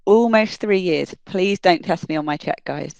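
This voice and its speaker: A woman's voice: fairly low pitch, normal speed, gravelly, darkish tone, no echo, lo-fi sound. A woman with a British accent, introducing herself conversationally as a guest on a panel. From United Kingdom. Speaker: guest, factory planning and shipping lead.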